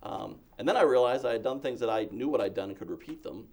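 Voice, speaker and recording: A man's voice: medium pitch, lively intonation, fast talker, vocal fry, darkish tone. A man from Massachusetts, a guest in an interview, telling self-deprecating stories in a chatty way. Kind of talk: casual interview talk.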